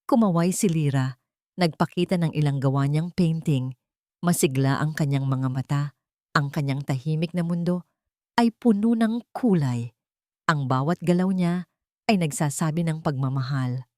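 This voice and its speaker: A woman's voice: low-pitched, moderate pace, warm tone, dry recording, studio quality. A woman with a Filipino accent reading a scripted, dramatic romance story as narrator.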